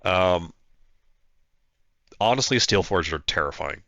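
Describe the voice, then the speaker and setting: Stuffed-up guy with a flu, low gravelly voice, rambling through his tabletop game, dry close mic. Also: animated, slow, a bit bright, no room sound, muffled recording. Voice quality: nasal, stuffed-up. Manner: thinking aloud, hesitant with fillers. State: congested from a flu. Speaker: player narrating his own battle report. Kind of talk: casual play-by-play commentary.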